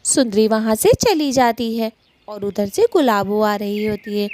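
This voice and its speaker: A woman's voice: fairly high pitch, a little slow, a little mellow. A woman narrating a Hindi cartoon story as its storyteller.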